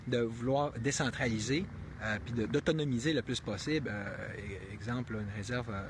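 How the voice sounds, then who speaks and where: A man's voice: mid-range voice, normal speed, noisy background. A man with a Québécois accent giving unscripted live commentary, rambling and thinking aloud with many restarts and fillers, earnest and given to emphatic rhetorical questions. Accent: Québécois French. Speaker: live streamer.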